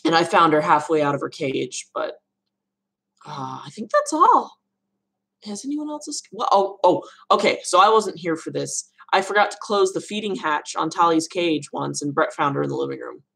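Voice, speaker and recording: A young woman with a notably deep voice and a gravelly edge of vocal fry. She chats loosely and rambles while answering viewer questions on a live stream from her room at home. She laughs often. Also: sing-song, normal speed, a bit bright. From Arizona, USA. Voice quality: low voice. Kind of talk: casual live Q&A chat.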